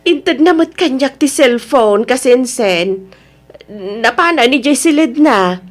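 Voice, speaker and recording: A woman's voice: high-pitched, animated, slow pace, full character voice. A woman playing a worried, scolding middle-aged mother in a radio drama, reproachful but caring. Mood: puzzled, worried. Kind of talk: radio drama acting in Ilocano.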